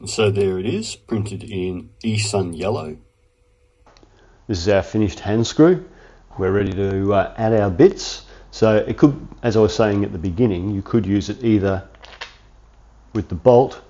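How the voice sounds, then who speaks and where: A man in his forties with a cold and sniffles, chatting through a hands-on workshop tutorial in a relaxed, rambling way from his home garage. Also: fairly low pitch, unhurried. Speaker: workshop channel host.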